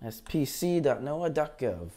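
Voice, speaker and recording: A man with a tired, low voice after heavy talking, hosting a live weather stream in a chatty, rambling way, talking into a lavalier mic. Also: sing-song, measured pace, mellow. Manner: chatty, rambling, unsure asides. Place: green screen studio, indoors. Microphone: lavalier clip mic.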